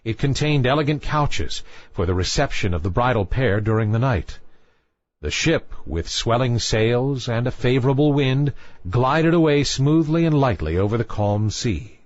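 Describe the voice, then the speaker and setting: A man's voice: mid-range voice, lively intonation, moderate pace, no room sound, highs cut off. Man narrating a fairy tale, dry sound. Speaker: storyteller.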